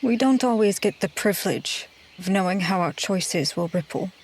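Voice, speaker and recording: A woman playing a guarded, haunted adventurer in tabletop roleplay, speaking in a measured, hesitant, grave way. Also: mid-range voice, animated, slight background noise, natural voice. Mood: pensive, grave.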